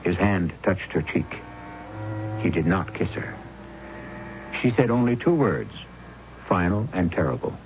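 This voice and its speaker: A man narrating a 1970s radio mystery drama in a grave, measured storytelling manner. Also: low-pitched, expressive, background noise. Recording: muffled old radio broadcast.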